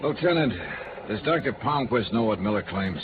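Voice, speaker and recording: An actor playing a tough, wry insurance investigator with clipped, probing delivery in a 1950s radio noir drama. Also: male voice, on the low side, animated, moderate pace, background noise, lightly acted. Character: wry, tough male insurance investigator narrating his case. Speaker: lead detective and narrator. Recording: tinny vintage radio recording, music.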